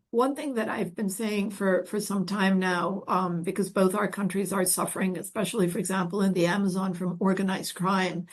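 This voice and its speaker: A woman's voice: mid-range voice, husky. A woman from Colombia, an expert guest speaker answering audience questions at an online event in an earnest, emphatic manner.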